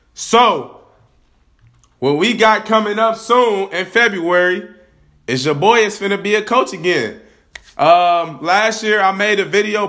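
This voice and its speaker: A young man hosting his own podcast, hyped and slangy, hoarse from a cold. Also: a little high, pushed and bright. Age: young adult. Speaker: podcast host. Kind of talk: casual vlog-style podcast talk. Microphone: camera.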